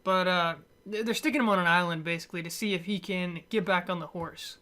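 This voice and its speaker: A man talking off the cuff in a casual movie review, halting and stumbling over his words, with wry, dismissive asides. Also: high-pitched, steady tone, sharp tone. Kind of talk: casual unscripted movie rant.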